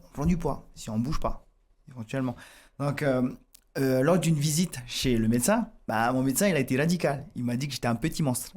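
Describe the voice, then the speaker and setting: A man with a Tahitian accent speaks in a radio studio as a fitness coach guest. His voice sits at a middling pitch. He explains eagerly, stumbling into restarts and fillers as he goes. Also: darkish tone.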